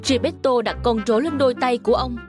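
A woman's voice: on the high side, fast, over music. A woman reading scripted narration for a children's fairy tale.

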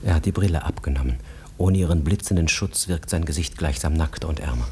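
Low-voiced male radio play narrator. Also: fast, darkish tone, slightly rough. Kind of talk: literary radio play narration, present tense.

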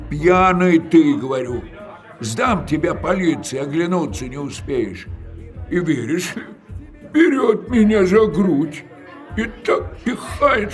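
An actor playing a talkative, grumbling old working-class man in an audio drama, rambling colloquially. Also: a little high. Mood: animated, indignant.

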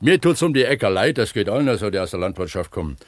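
An actor playing an eager, earnest pump attendant at an opencast mine in a naturalistic radio drama, explaining things like a tour guide. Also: male voice, fairly low pitch, sing-song, fast, no room sound. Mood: explanatory.

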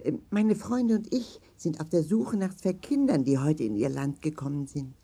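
Polite, husky, soft-toned doctor. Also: female voice, low-pitched, animated, moderate pace, exaggerated voice. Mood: apologetic. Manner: formal, careful, courteous. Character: polite, helpful, articulate doctor friend, leader. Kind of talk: German children's audio play acting.

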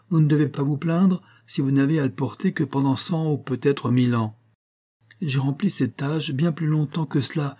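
A man voicing a boastful, sly male giant, reading in a raised, booming voice. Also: warm tone, breathy edge.

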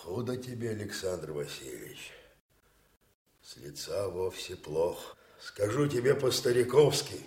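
An actor playing a loyal old veteran soldier, plain-spoken and folksy, in a theatrical radio drama. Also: male voice, sits low, lively intonation, a little mellow. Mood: heartfelt, rousing. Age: older. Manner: folksy, unhurried, heartfelt. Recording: roomy, echoing radio studio sound.

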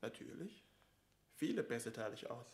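A man's voice: medium pitch, sing-song, moderate pace, gravelly. A man playing a courtly, easily affronted ambassador in a classical radio drama, speaking with ceremonious formality. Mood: affronted, indignant.

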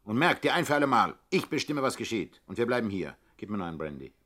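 An actor playing a cocky, dismissive gangster in a 1960s radio crime comedy, with bossy, theatrical banter. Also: male voice, on the low side, sing-song, fast talker, fairly bright tone. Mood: bossy, irritated. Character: cocky, dismissive male pickpocket turned gangster boss.

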